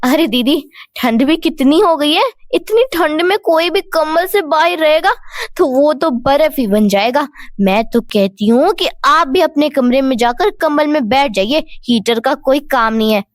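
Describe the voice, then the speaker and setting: A woman's voice: sits high, unhurried, no echo, studio quality. A woman voicing a naive young bride who always feels cold, in a cartoon story. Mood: earnest.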